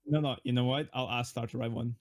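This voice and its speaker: A male streamer bantering casually, playful and excitable, with some swearing. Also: mid-range voice, quick pace, a little hiss or hum.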